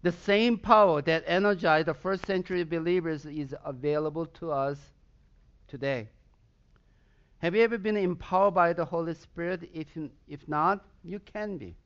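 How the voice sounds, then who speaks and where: A man's voice: fairly high pitch, moderate pace, clean tone, muffled recording. A pastor from Southern Sweden, a man whose first language is Swedish, preaching a sermon with a Swedish accent and emphatic bursts of intensity.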